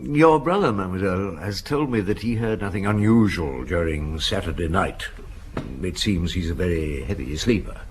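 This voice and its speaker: A low-pitched police chief inspector in a radio drama, shrewd and unhurried. He questions courteously and briskly, with lively inflection, over faint background noise. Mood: courteous, probing.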